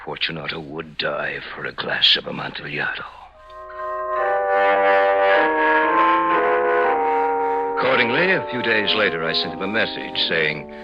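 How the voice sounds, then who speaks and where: An actor playing a scheming, falsely courteous gentleman in a 1950s radio drama, measured and theatrical, on a noisy old broadcast recording. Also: a bass voice, muffled recording. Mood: brooding, tense. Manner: measured, smoothly polite, theatrical. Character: scheming, falsely courteous vengeful gentleman, narrating his crime.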